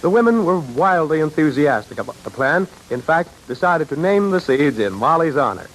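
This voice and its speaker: A man with a high, bright-edged announcer's voice sets up a 1930s radio show. His delivery is brisk and persuasive, with a faint hiss of the old recording. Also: very animated, low-quality recording.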